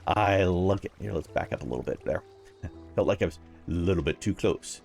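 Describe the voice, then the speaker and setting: A man with a raspy early-morning voice, giving casual gameplay commentary as host, upbeat and chuckling often while he rambles through explanations. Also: sits low, lively intonation, moderate pace, darkish tone, faint music behind.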